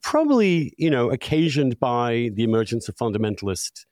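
A man's voice: medium pitch, big pitch swings, moderate pace, darkish tone, no echo, clean studio sound. A middle-aged or older man hosting a conversational interview, musing emphatically and offering his own opinions. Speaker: podcast host, interviewer.

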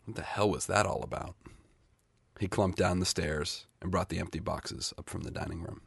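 A man's voice: low voice, fairly even intonation, moderate pace, gravelly, breathy edge, no echo. A man reading literary short fiction aloud as a scripted narration. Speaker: short story reader.

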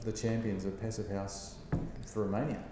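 A man hosting a casual interview, encouraging his guest with frequent affirmations and halting restarts in his questions. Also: lowish voice, little pitch movement. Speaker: podcast host. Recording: very roomy reverberant hall, faint noise.

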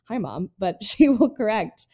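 Warm-voiced woman hosting a podcast. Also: mid-range voice, sing-song, unhurried. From North Carolina. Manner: earnest, reflective storytelling. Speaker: podcast host. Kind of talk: casual interview chat.

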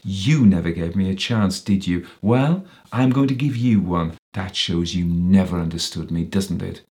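A man's voice: deep voice, unhurried, darkish tone. A man reading a story, voicing a crafty, menacing ex-policeman villain, bitter and self-pitying, who sneers and taunts. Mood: grim. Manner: sneering, taunting, with sudden rises and whines.

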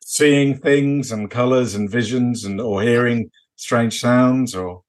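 British man, mid-pitched, rambling conversational delivery. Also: takes it slowly. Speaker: podcast co-host. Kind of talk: casual unscripted chat.

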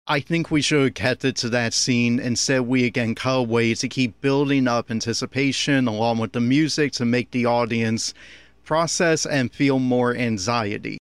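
A man with a medium-pitched voice records an audio commentary on an anime. He speaks as an opinionated critic, and the sound is dry, with very little room to it. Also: moderate pace, smooth, no room sound.

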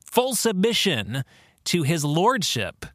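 A man hosting a podcast, explaining theology in a monologue with deliberate, emphatic phrasing. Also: fairly high pitch, huge pitch swings, a bit bright, no room sound, studio quality. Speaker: podcast host and author.

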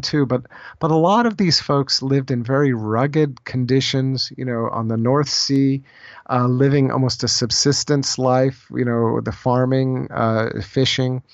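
A man speaking as an interview guest, explaining ideas in a thoughtful, halting conversational way and often repeating phrases as he goes. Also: medium pitch, creaky, dry recording. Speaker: podcast guest, author on Celtic spirituality. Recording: slightly dull, lo-fi audio.

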